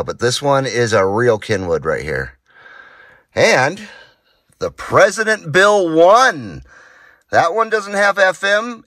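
A man's voice: medium pitch, fairly bright tone, no echo, clean studio sound. A middle-aged man giving a casual, enthusiastic hands-on demo in an informal way, as host of a hobby channel. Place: small indoor garage, partly from inside a car.

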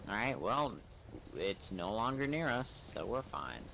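Slow-talking man with a swooping, animated pitch. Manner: sardonic muttering, swearing. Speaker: gamer playing a role-play game. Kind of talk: casual gameplay commentary. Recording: clear background noise, game ambience.

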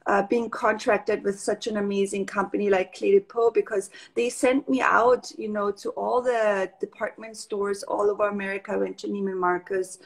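A woman's voice: mid-range voice, flat delivery, moderate pace, gravelly, few pauses. Woman chatting on a video call. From Austria. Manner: chatty, warm, with many fillers and restarts. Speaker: guest, makeup artist. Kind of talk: casual video call interview. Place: living room at home.

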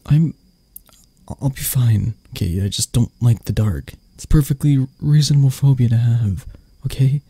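A man playing an arrogant, grumpy CEO with a hidden anxious side in an ASMR roleplay, curt and sardonic, with a breathy, gravelly voice. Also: medium pitch, moderate pace, mellow, dry recording. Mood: embarrassed, defensive. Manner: curt, sardonic, stammering when flustered. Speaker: voice actor. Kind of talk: ASMR roleplay audio drama.

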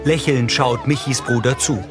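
A male audio description narrator at a middle pitch. He reads scripted scene descriptions in the present tense, with clear soundtrack music behind him. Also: moderate pace.

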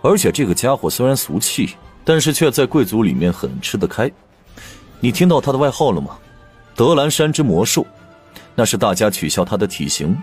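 A man's voice: mid-range voice, very animated, brisk, a little mellow, husky, faint music behind, pitched above the performer's own voice. A man voicing a gossipy, knowing young prince in an audiobook, chatty and emphatic. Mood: amused, impressed. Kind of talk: audiobook character dialogue.

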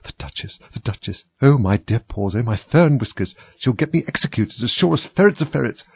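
Man as a fretful, muttering husky rabbit. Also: mid-range voice, animated, a little fast, dry recording. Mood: anxious.

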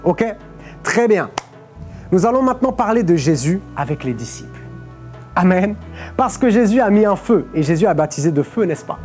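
Young guy preaching on a studio stage, mellow warm voice, keeps checking in with the audience, faint music underneath. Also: high-pitched, sing-song, moderate pace, long pauses. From Portugal. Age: young adult. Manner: explanatory, peppers phrases with "ok?" and "amen". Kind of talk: sermon on a live stream. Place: studio stage with a clear lectern.

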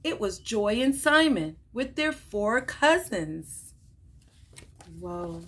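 A woman's voice: a little high, sing-song, slow pace, husky. A woman in her forties reading a picture book aloud expressively to young listeners. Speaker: children's storytime reader. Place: small room at home, indoors.

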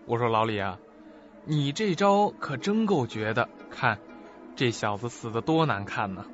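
A man's voice: mid-range voice, lively intonation, measured pace, dull audio, close to an everyday voice. Man playing an oily, greedy crooked lawyer. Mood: gloating. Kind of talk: audio suspense drama acting. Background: music.

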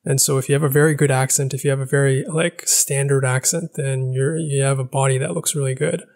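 A young man with a gravelly edge to his voice rambles thoughtfully through a casual vlog monologue. He speaks into a podcast mic in a small living room. He pauses, restarts and searches for words as he goes. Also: mid-range voice, fairly even intonation, a little mellow. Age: young adult. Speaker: language-learning vlogger. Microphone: boom-arm podcast mic.

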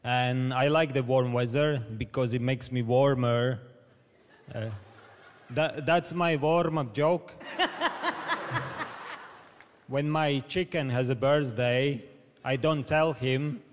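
Halting Latvian man, slow deadpan jokes. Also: medium pitch. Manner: hesitant, stammering deadpan delivery. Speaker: comedian contestant. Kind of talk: stand-up comedy audition. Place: large theatre stage. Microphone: handheld mic. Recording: reverberant theatre hall, audience noise.

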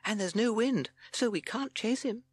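A timid storybook toad, played by a woman, with a high little voice. He is anxious and worried, always fretting. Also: animated, moderate pace, breathy edge.

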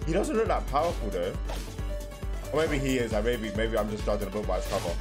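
A young man giving casual, excitable, slangy and sweary reaction commentary. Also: on the low side, quick pace, music in the background. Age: young adult. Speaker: reaction video host. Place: indoors, with trailer audio behind.

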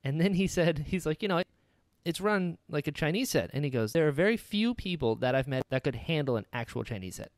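A man hosting a podcast, enthusiastic and animated, often acting out little quoted exchanges. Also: fairly high pitch, fairly quick, no room sound. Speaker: podcast host. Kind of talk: casual interview chat.

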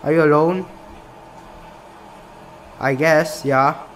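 A man's voice: a little high, steady tone, takes it slowly, fairly bright tone, slight background noise. A young man from Singapore who plays a game on camera, reads its questions aloud and reacts casually, often saying "bro". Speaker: gaming YouTuber. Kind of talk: casual gameplay commentary. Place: small room indoors.

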